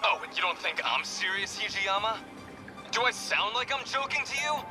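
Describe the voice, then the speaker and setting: Young delinquent pilot for a game dub: brash, combative, slangy, slightly high register, music behind. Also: male voice, animated, moderate pace. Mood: angry, challenging. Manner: brash, coarse slangy talk. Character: hot-headed young male delinquent brawler turned mech pilot. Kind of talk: English game dub voice acting.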